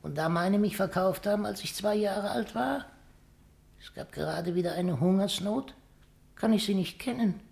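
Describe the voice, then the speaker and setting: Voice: mid-range voice, moderate pace, gravelly, lightly acted. An actor in a 1950s radio play voicing a stunted dwarf acrobat, young, proud, wry and world-weary, with a theatrical, sardonic delivery. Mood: matter-of-fact, wry. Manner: theatrical, sardonic, shifting between hushed and sharp.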